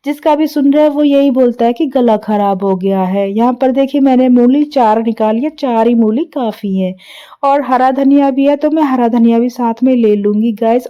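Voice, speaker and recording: A woman vlogger with a sore, hoarse throat, narrating her daily chores in a chatty, homely voice-over full of fillers. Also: sits high, highs cut off. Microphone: recorded voice-over.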